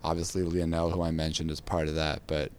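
Low raspy-voiced man hosting a podcast. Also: a little fast, a little hiss or hum. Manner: relaxed, rambling, with many fillers. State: raspy from a cold. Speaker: podcast host. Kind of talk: casual podcast chat about guitar.

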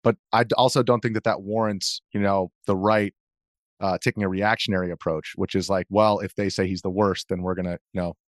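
American guy, lowish voice, casual interview guest telling stories, keeps restarting, dry close audio. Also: fairly even intonation, fairly quick, dry recording. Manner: informal, self-interrupting storytelling with acted-out quotes. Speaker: interview guest, video journalist. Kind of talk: casual anecdotal interview talk.

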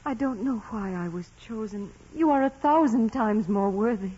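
An actress playing a humble, devout young peasant woman in 1940s radio drama style, earnest, deferential and soft-spoken. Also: on the high side, animated, normal speed, husky. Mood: meek, earnest. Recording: dull, hazy vintage radio recording.

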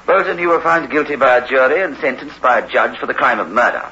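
An actor playing a middle-aged prison governor in a 1960s radio drama, formal, clipped and condescending. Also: male voice, mid-range voice, fast, sharp tone, lightly acted. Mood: stern, dismissive. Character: stern, dismissive male prison governor, official and formal. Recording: muffled, noisy old radio recording.

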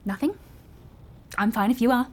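A woman's voice: on the high side, moderate pace, close to an everyday voice. An actress playing a reserved young woman with a British accent, dry, understated and politely awkward, in naturalistic audio drama. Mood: awkward, guarded.

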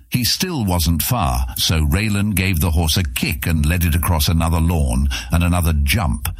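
A man's voice: low-pitched, husky, no room sound, clean studio sound. A man narrating an epic fantasy story as a scripted audiobook, with dramatic storytelling delivery.

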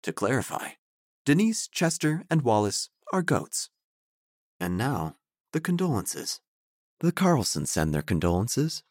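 An actor playing a wry, nosy small-town narrator, delivering formal, theatrical, deadpan comic audio drama narration over music. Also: male voice, medium pitch, a little slow, no echo, clean studio sound. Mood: deadpan, solemn.

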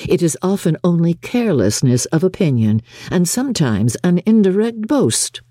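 A haughty, reserved gentleman of an audiobook, played by a woman. The voice is lowered and mellow, with formal, measured, coolly exacting delivery in a dry, close recording. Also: sing-song, no echo. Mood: stern, critical. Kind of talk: audiobook character acting.

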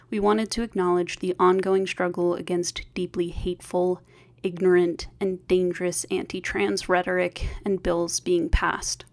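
A woman's voice: on the low side, a little mellow. A woman reading a scripted podcast introduction as host.

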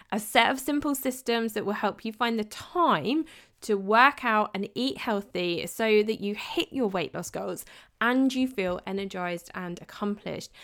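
A woman reading a scripted, upbeat promotion for her course. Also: a bit bright. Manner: upbeat, persuasive sales pitch. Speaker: podcast host.

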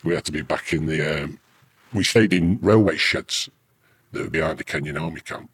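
A man's voice: very deep voice, normal speed, fairly bright tone, husky. A British man, middle-aged or older, an army veteran telling rambling, earthy anecdotes casually in an interview. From United Kingdom.

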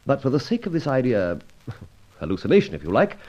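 Theatrical, suave gentleman adventurer, animated. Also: mid-range voice, a little fast. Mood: earnest, guarded. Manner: theatrical, arch, playfully pompous. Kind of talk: old radio serial acting and narration. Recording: dull lo-fi old radio recording, faint music.

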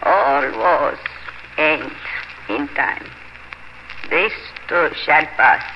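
A woman's voice: sits low, lively intonation, dull audio, a little hiss or hum, exaggerated voice. An actress playing an elderly, tender and stubbornly protective French great-grandmother in a 1950s radio drama, speaking fervently and repeating herself. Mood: gentle, firm. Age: older. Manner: slow, fervent, repeating phrases. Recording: band-limited 1950s radio with music.

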